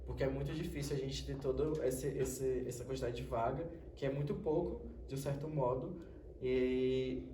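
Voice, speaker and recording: A man's voice: mid-range voice, mellow. Young Brazilian man, roomy distant recording. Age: young adult. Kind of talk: informal spoken panel presentation. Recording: roomy, distant mic with faint noise.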